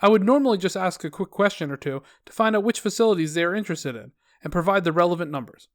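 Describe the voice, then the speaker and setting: A man's voice: high-pitched, lively intonation, fast talker, dry recording, clean studio sound. A man reading online posts aloud, adding wry, sarcastic comments between them.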